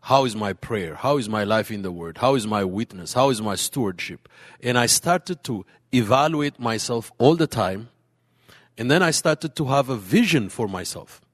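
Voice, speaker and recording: Earnest halting pastor in a big hall. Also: male voice, mid-range voice, animated. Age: late 30s. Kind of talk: panel discussion answer. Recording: hall reverb.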